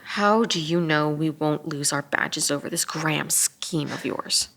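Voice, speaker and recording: A woman's voice: low voice, unhurried, gravelly, fairly bright tone, a little hiss or hum, heavily acted. A woman playing a hot-headed, sarcastic cop, incredulous and argumentative, in a dramatic read. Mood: doubtful, exasperated. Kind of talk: dramatic table read acting.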